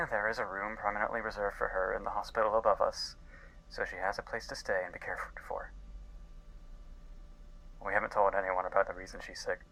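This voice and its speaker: A man playing an earnest, guilt-ridden scientist in an audio drama, speaking intensely and haltingly as if recording a log. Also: on the low side, sharp tone, poor audio. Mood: guilt-ridden, determined. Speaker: voice actor. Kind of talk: dramatic audio-log monologue in a fiction podcast.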